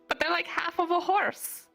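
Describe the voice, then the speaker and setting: A woman's voice: mid-range voice, fairly bright tone. A woman roleplaying a chatty, silly young fantasy adventurer, talking in a casual, slangy, rambling way. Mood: playful, giggly. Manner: rambling, giggly, modern slangy speech. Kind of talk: improvised in-character roleplay, casual chat.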